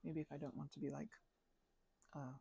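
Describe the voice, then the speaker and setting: A woman's voice: sits low, little pitch movement, moderate pace, vocal fry, dark tone, husky, dry recording. A young woman chatting casually in an ASMR ramble, mumbling and hesitating as she drifts along, close to the microphone. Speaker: ASMR channel creator.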